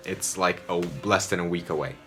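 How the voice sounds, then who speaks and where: A man's voice: deep voice, brisk, sharp tone, faint music behind. A man from Romania, giving loose, rambling commentary on a TV episode from his home and swearing freely. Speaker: video essayist. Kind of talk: casual review commentary. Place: home room, indoors.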